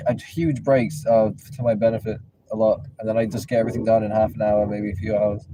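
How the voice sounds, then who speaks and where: Joking driver chatting from across the car. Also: male voice, fairly low pitch, level tone, brisk. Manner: joking, rambling, asks questions. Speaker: rideshare driver and show host. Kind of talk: casual chat with a passenger. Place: inside a car. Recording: distant mic with loud road noise.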